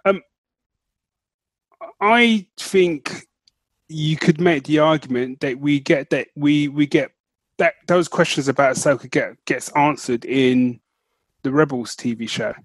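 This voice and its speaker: A man's voice: a little high, a little slow, pauses often, dry recording. A man co-hosting a podcast, chatting casually as he reviews shows, choosing his words carefully and repeating phrases for emphasis. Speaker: podcast co-host.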